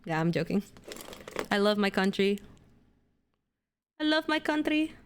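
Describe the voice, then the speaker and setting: A woman's voice: medium pitch, sing-song, measured pace, a little hiss or hum. A young woman from Indonesia, chatting playfully as she streams herself building a model kit. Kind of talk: casual live-stream chat while building a model kit.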